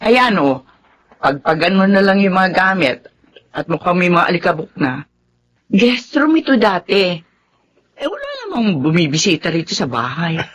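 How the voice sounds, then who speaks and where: An actress playing a practical, affectionate homeowner in a Tagalog radio drama, with a Filipino accent and a conversational, gently bossy, teasing manner. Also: female voice, lowish voice, sing-song, moderate pace, pauses often. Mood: warm, brisk. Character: Remedios, affectionate, practical homeowner woman in a romance.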